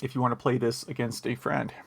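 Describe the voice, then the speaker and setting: A man's voice: medium pitch, flat delivery, fast talker, vocal fry. A man hosting a podcast, chatting casually about the news and wandering into conversational asides. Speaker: podcast host. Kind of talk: casual retro gaming news chat.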